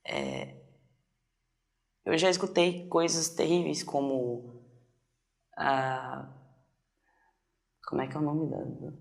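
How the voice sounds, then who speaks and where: Brazilian man, twenties, heavy vocal fry, halting delivery, casual interview testimony. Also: on the high side, animated. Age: 20s. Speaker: documentary interviewee.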